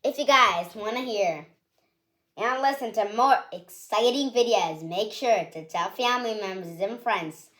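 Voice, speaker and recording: Woman doing a slow read-aloud of a kids picture book, lots of expression. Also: mid-range voice, fairly bright tone. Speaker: children's story reader.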